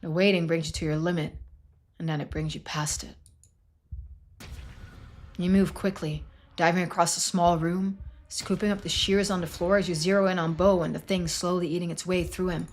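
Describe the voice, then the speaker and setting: A woman's voice: lowish voice, fairly even intonation, normal speed. A woman reading dramatic scripted narration for a story-driven video game. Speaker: video game voice-over reader.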